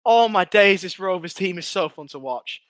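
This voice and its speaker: A young English man hosting a live fan watchalong, commentating on a match and reading out viewer comments, excitable and chatty, his voice going hoarse. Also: sits high, normal speed, no room sound, clean studio sound. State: losing his voice.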